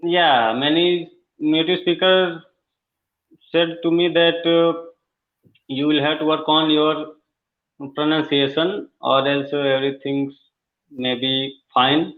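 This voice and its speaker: A man's voice: on the high side, steady tone, slow pace, pauses often. A man with an Indian accent, Hindi his first language, practicing spoken English on a video call, hesitant with many fillers and restarts. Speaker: English learner. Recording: tinny call line.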